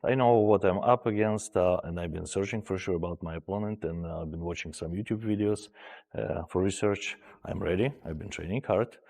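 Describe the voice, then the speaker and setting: Man in his forties, measured. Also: sits low, vocal fry, a little mellow, big-room sound. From Ukraine. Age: 40s. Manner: measured, with frequent "uh" pauses. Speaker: armwrestler. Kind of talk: pre-match interview.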